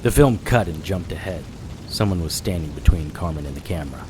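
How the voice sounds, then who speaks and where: Deep-voiced hard-boiled actor's male private eye. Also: lively intonation, fairly quick, noisy background. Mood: grim narration. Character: hard-boiled male private investigator narrating, grimly vengeful. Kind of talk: first-person noir audio drama narration.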